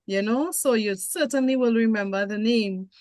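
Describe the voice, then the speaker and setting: A woman's voice: fairly high pitch, normal speed, darkish tone, no echo, studio quality. A woman in her forties with a Trinidadian accent, a nurse introducing herself as a panel guest in a rambling way with many fillers. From Trinidad and Tobago.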